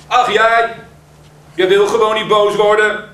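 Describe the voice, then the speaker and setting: A man's voice: medium pitch, very animated, a little slow. A man voicing a cheeky, bossy male friend who teases in a mocking, gleeful way. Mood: shouting. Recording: echoey room, mic far off, noisy.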